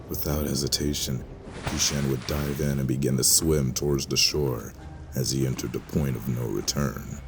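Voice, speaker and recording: Extremely deep-voiced male fantasy narrator. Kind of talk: scripted dramatic fantasy narration. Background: music under the voice.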